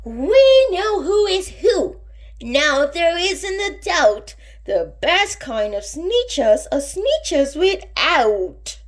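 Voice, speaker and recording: A woman reading a storybook, voicing snooty, excitable beach creatures who exclaim indignantly and boastfully. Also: very high voice, very slow, voice pushed up, exaggerated voice. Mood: smug, shouting.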